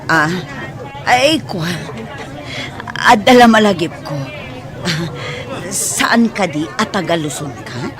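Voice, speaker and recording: A woman's voice: medium pitch, expressive, takes it slowly, long pauses, a crowd in the background. A woman playing an inquisitive, slightly awkward village mother in a radio drama. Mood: curious, awkward.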